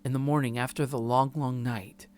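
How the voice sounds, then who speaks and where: A man's voice: medium pitch, fairly even intonation, normal speed, a little mellow, natural voice. Man reading as a subdued, heartbroken young narrator. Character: Jack, numb, heartbroken man narrating his despair. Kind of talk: first-person visual novel narration read aloud.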